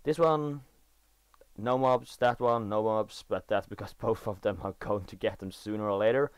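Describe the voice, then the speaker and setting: A Norwegian teenage boy, speaking English as a second language, giving casual commentary over his own gameplay footage in a halting, self-correcting way. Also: lowish voice, steady tone, clean tone, no echo. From Norway. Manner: halting, self-correcting, enthusiastic about game details. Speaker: gaming video creator. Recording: dry voice-over recorded after gameplay.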